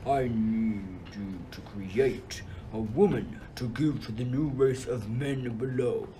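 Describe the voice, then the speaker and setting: A man acts out a spiteful, wrathful king of the gods for a radio play. He gives orders in a slow, imperious, irritable voice. The recording has clear background noise. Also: medium pitch, warm tone, natural voice, low-quality recording. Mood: commanding, irritable. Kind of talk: amateur radio play acting.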